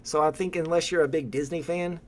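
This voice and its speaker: A man's voice: a little high, steady tone, fairly quick. A young man hosting a casual livestream from a room at home, chatting with a guest and reading viewer comments aloud. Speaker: livestream host.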